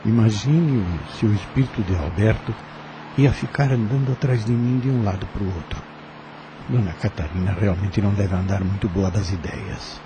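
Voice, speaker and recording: A man's voice: fairly low pitch, normal speed, close to an everyday voice. A man playing a polite, determined, skeptical fellow in an audio drama, formal and reserved. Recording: clearly noisy background.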